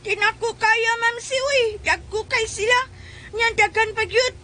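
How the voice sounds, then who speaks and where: A very high, sharp little child voice with a Cebuano accent, whining and complaining to papa. It comes from a slightly noisy home-made comedy audio drama. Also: fairly even intonation, measured pace, full character voice. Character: young school child tattling to papa, whiny, hungry. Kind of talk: comedy radio-style skit in Bisaya.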